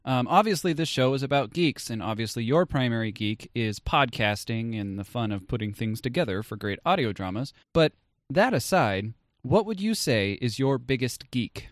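A man's voice: mid-range voice, expressive, moderate pace, dry recording, studio quality. A man hosting a casual, comedic podcast chat in a jokey, playful way. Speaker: podcast host.

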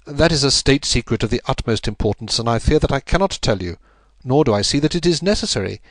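A man reading aloud, voicing an old, stern prime minister who speaks gravely and with measured weight. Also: medium pitch, expressive, no room sound, pitched above the performer's own voice.

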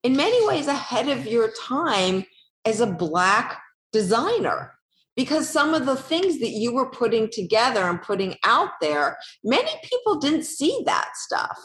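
A woman's voice: fairly high pitch, big pitch swings, measured pace, a bit bright. Host: woman interviewer, affirming and chatty, recorded in an echoey room. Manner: affirming, thinks aloud with fillers. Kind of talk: conversational interview.

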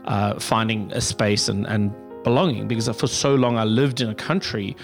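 A man's voice: on the low side, steady tone, normal speed, creaky, soft background music. A middle-aged man with a South African accent, answering interview questions thoughtfully with many fillers and restarts. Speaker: executive director of a pride center.

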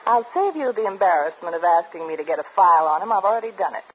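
An actress playing a smug, nosy young American secretary, a teasing, sing-song wisecracker in a 1950s radio drama. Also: female voice, on the low side, lively intonation, fast, lightly acted. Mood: gleeful, efficient. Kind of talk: 1950s radio drama comic sidekick acting. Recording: dull old radio recording, slight noise.

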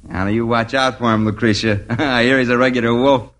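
An actor playing a needling, jealous advertising office rival, wisecracking in a mock-casual way in a 1950s radio comedy. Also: male voice, mid-range voice, fairly even intonation, brisk, fairly bright tone, lightly acted. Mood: sly, needling. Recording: very noisy old radio recording.

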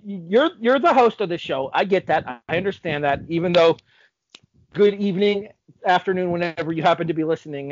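A man's voice: high-pitched, muffled recording. A man co-hosting a podcast in casual banter, often interjecting and teasing the others. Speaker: podcast co-host.

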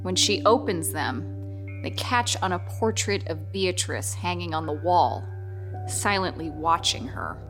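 A woman narrating a horror radio drama as a solemn storyteller, in a measured, dramatic manner. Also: lowish voice, lively intonation, fairly bright tone. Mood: solemn, suspenseful. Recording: music bed under narration.

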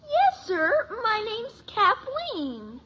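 An anxious little tomboy girl in a vintage radio sitcom. Her voice is extremely high and bright, and it turns tearful easily. The recording is old and dull. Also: huge pitch swings, very slow, a little hiss or hum, heavily acted. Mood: indignant. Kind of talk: 1950s radio sitcom acting.

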